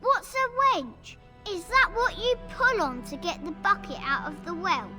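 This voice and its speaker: Casting: playful, inquisitive little boy for a game, piping high voice, animated, music underneath. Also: a little slow, full character voice. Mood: innocent, curious. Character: eager, playful small boy, curious son. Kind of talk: video game voice acting.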